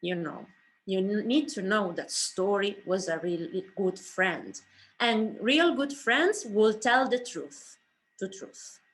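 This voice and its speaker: A woman's voice: medium pitch, lively intonation, takes it slowly. A woman speaking English as a second language, telling a story expressively to an audience in a theatrical way. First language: not English. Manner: theatrical, acting out dialogue. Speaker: speaker, writer and director. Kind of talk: expressive oral storytelling in a presentation.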